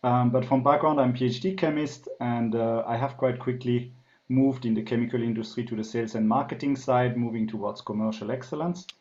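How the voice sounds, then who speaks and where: French-accented man, mellow muffled voice. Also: mid-range voice, fairly even intonation, a little fast. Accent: French, with a German tinge. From France. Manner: thoughtful, filler-heavy explanations. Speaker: guest consultant. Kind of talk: business podcast interview.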